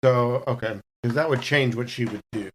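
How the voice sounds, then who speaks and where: A woman's voice: extremely low pitch, normal speed, slight background noise. A woman playing a tabletop game, casually and wryly thinking through what her character does.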